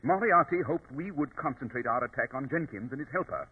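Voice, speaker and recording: An actor playing a self-assured, dryly superior detective, speaking precisely in 1940s radio drama style. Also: male voice, mid-range voice, expressive, fairly quick, breathy edge. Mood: assured explaining. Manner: precise, explanatory, theatrical. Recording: tinny old radio recording.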